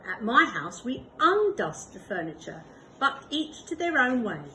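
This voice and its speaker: A woman voicing a cheerful, literal-minded housekeeper in a read-aloud storybook, speaking slowly and emphatically. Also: on the high side, big pitch swings, bright, forceful tone, slight background noise, voice pushed up, full character voice, poor audio.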